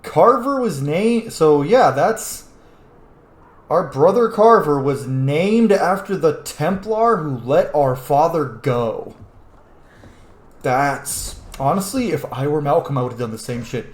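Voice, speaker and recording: A man's voice: fairly high pitch, lively intonation, slow pace. A man chatting informally and with some swearing as he gives casual commentary on a game he is playing. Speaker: gameplay host, role-playing commentator. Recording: roomy echo, faint noise.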